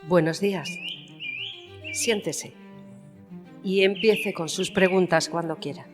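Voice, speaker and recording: Proud spanish queen, kinda low, frank and measured, big echo, strings behind. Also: over music. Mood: composed, pointed. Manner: formal, measured, pointed. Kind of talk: dramatised historical interview. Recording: long hall reverb.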